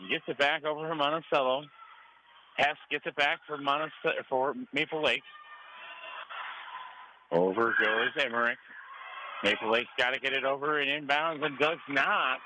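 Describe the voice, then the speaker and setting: A man's voice: mid-range voice, steady tone, moderate pace, pauses often. An American man giving live play-by-play radio commentary on a volleyball match. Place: indoor school gym during a match. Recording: noisy gym ambience behind commentary.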